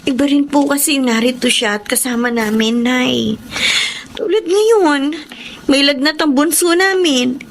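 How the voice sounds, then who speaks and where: A woman's voice: sits high, measured pace, a little hiss or hum. An actress playing a lonely, devoted wife and mother in a melodrama, speaking in a plaintive, tender way. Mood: sad, worried. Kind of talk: radio-style melodrama acting.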